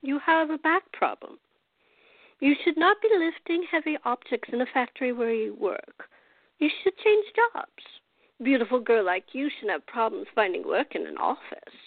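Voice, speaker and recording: Cantankerous old woman played by an actress, imperious and clipped, higher-pitched, radio drama, close dry but muffled recording. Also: very animated, moderate pace, creaky, no room sound, exaggerated voice. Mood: brusque, scolding. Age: older. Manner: imperious, brusque, disapproving. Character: cantankerous, blunt old Australian woman, a retired nurse. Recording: dull lo-fi recording.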